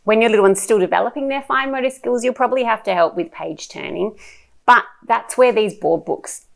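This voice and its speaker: A woman giving instructional tips to parents, explaining things clearly and stressing key points. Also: a little high, brisk. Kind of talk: instructional talk to camera.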